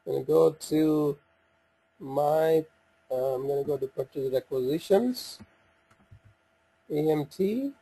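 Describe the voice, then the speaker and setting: Husky, mellow man demonstrating software. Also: fairly high pitch, rough recording. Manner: halting, thinking aloud while operating the screen. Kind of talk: informal step-by-step software walkthrough. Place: voice-over for a screen recording.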